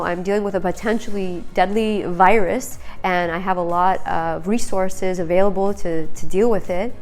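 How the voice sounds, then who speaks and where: A woman with a stuffy, congested voice, a nutrition counselor talking earnestly to her channel's viewers in a personal vlog, often pausing to search for words. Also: mid-range voice.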